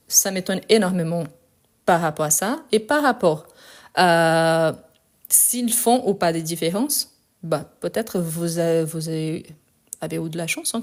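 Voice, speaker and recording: Woman, live French lesson host, relaxed and talky, often restarts mid-sentence. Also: mid-range voice. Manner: chatty, many fillers and restarts. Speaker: French teacher. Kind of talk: live online lesson, answering comments. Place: in France.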